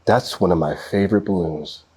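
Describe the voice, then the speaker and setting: A man voicing a gentle, nostalgic old grandfather in a storybook read-aloud. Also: deep voice, normal speed, breathy edge, voice pushed down. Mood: fond.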